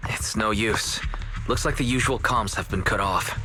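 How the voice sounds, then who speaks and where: A man voicing a calm, capable, matter-of-fact helper in an anime-style game, unhurried and level-headed. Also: on the low side, music in the background, natural voice. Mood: cool, focused.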